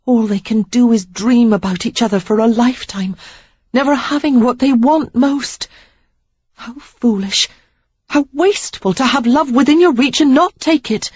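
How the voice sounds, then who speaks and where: A woman's voice: a little high, normal speed, a little mellow, breathy edge, no room sound, dull audio, pitched above the performer's own voice. A woman reader voicing an upset young woman who pleads and insists, close to tears. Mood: pleading, resolute. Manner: pleading, emphatic, near tears. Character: young woman, frustrated and resolute suitor.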